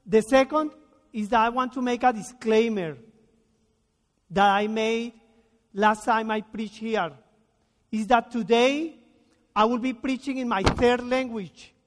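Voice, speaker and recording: Slow-paced preacher in a church. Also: male voice, very high-pitched. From Colombia. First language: Spanish. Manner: earnest, deliberate, reads scripture slowly with emphasis. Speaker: guest preacher. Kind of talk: sermon. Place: church sanctuary. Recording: reverberant church sanctuary.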